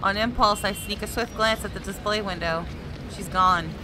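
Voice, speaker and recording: A woman's voice: mid-range voice, normal speed, poor audio. A woman hosting a let's play, reading visual novel narration dramatically with casual, informal asides. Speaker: gaming video host. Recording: loud game music behind the voice. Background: game soundtrack.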